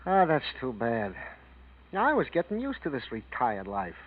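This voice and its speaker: A man's voice: medium pitch, wildly swooping pitch, unhurried, narrow, tinny audio. An actor playing an easygoing, wistful policeman with a folksy manner in a 1940s radio drama. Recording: thin, husky-sounding old radio recording.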